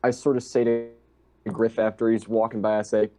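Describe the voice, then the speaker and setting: A man's voice: on the low side, flat delivery, brisk, over music. A man playing a tabletop roleplaying game, talking casually with the group. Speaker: player.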